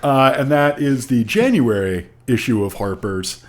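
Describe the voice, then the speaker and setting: Dude co-hosting a podcast, average pitch, dry n wry, chats in stops and starts. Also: male voice, a little slow. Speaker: podcast co-host. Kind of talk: casual podcast chat.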